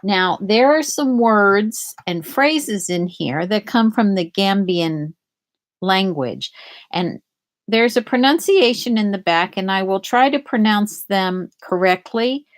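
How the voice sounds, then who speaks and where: Slow-paced woman reading a picture book. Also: mid-range voice, animated. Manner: deliberate, explains words and story to young listeners. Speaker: storybook reader. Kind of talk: read-aloud of a children's picture book with explanatory asides.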